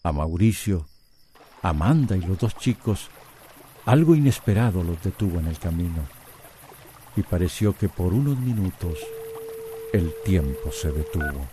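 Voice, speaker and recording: A man's voice: low-pitched, animated, measured pace, long pauses, mellow, a little hiss or hum. A man narrating a radio drama in a scripted, dramatic style.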